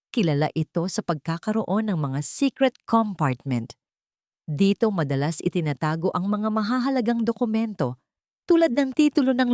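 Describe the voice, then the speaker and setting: A woman voicing a calm but firm professional man investigating a case in a dramatic story. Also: medium pitch, no echo, clean studio sound, natural voice.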